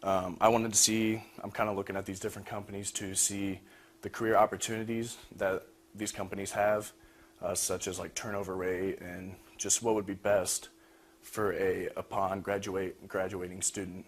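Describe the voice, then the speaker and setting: A man's voice: low voice, flat delivery, normal speed. A young man giving an informal project talk as a student presenter. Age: young adult. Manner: frequent throat clearing. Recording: noisy room recording.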